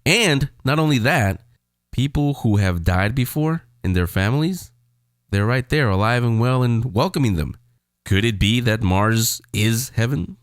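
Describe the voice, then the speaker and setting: A man's voice: mid-range voice, expressive, unhurried, no echo, studio quality. A man hosting a podcast, introducing things in a casual, conversational way. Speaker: podcast host.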